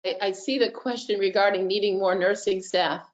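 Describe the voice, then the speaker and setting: A woman talking casually as a school nurse in an online meeting, frank but a little halting. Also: medium pitch, fairly even intonation. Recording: dull online meeting audio.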